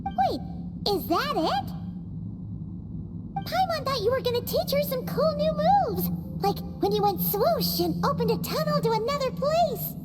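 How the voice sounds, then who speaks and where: A woman voicing a tiny, cheeky, childlike fairy companion in a video game, squeaky and perky. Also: sing-song, unhurried, in a large hall, loud music behind the voice, heavily acted. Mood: puzzled, let down. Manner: perky, talks of herself in third person. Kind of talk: scripted video game cartoon acting.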